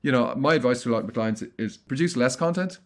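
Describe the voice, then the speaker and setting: A middle-aged man answering interview questions in a casual, chatty way, often restarting his sentences. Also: mid-range voice. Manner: quick, chatty, frequent restarts. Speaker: guest, content marketing consultant.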